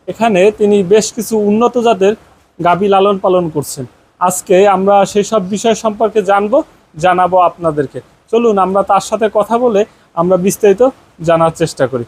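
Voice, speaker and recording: A man's voice: high-pitched, moderate pace, darkish tone. A man with a Bangladeshi accent hosting a farming programme on location, interviewing and often repeating what he hears back. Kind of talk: on-location interview with a scripted-sounding welcome. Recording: noisy on-location farm recording.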